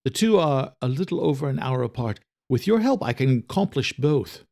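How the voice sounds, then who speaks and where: A troubled gentleman scientist in an audio thriller, acted by a male narrator, in a middle-pitched voice. He speaks formally, in measured and clipped phrases. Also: big pitch swings, vocal fry. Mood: familiar, weary. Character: formal, troubled male geneticist doctor, pipe smoker.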